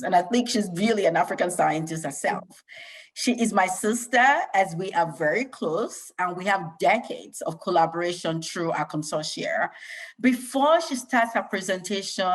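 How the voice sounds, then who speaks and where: Enthusiastic woman host, low voice. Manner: enthusiastic, emphatic. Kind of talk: hosting an interview.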